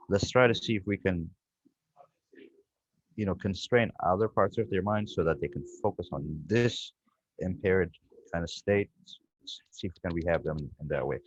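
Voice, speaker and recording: A man's voice: low voice, moderate pace, husky, no room sound. A man, a guest researcher telling his story on a video call in a reflective, rambling way. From Ethiopia. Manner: reflective, rambling with frequent "you know" and restarts. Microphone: video call microphone. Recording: dull lo-fi video call audio, dry.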